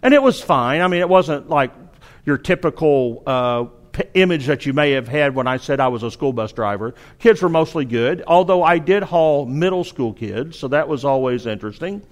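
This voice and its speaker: A middle-aged man with a Southern drawl from Arkansas delivers a sermon, his voice sitting noticeably high. He exhorts earnestly and often stumbles into restarts as he presses his point. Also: lively intonation, normal speed. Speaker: preacher.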